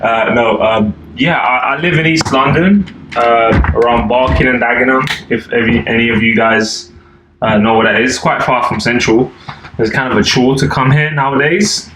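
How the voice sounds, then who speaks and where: A young man in his twenties, originally from Albania, talks in a loose, meandering way with plenty of "like" and "uh". His voice sits at an ordinary middle pitch. The room around him rings noticeably, with a faint hiss underneath. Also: normal speed. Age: 20s. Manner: laid-back, rambling, lots of fillers and "like". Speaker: podcast guest. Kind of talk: casual chat. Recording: echoey room, faint noise.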